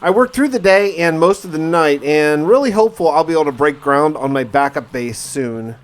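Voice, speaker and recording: Higher-pitched man narrating a survival diary. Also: animated, normal speed. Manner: conversational, musing aloud with rhetorical questions. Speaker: gaming video narrator and player.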